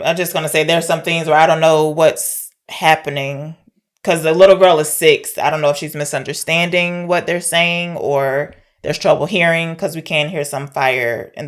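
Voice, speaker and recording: A woman's voice: sits low, fairly even intonation, moderate pace. A woman co-hosting a podcast, chatting informally about films and thinking aloud as she goes. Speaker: podcast co-host discussing films. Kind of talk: casual film discussion.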